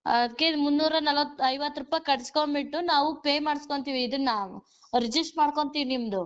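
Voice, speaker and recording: A woman's voice: high voice, steady tone, fast talker. A woman recruiter on a phone call, pushing a job offer and hurrying the listener along. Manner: pushy, repeats "okay?" to hurry the listener. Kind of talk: phone sales pitch. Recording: muffled phone call recording.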